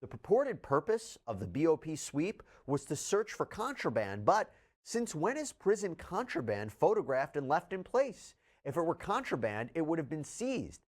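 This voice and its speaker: A man's voice: a little high, wildly swooping pitch, moderate pace. A man presenting scripted legal news in an articulate, explanatory way, with emphatic delivery. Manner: articulate, emphatic when quoting documents.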